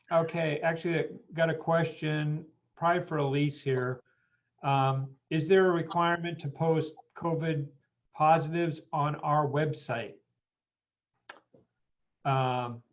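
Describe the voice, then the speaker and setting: A man's voice: fairly high pitch, creaky. A man hosting a conference call in an informal way, moderating questions, on lo-fi call audio.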